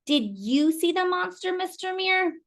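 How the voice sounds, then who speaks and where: A woman's voice: very high voice, expressive, unhurried, pitched above the performer's own voice, heavily acted. A woman voicing a curious, eager young girl who asks many questions.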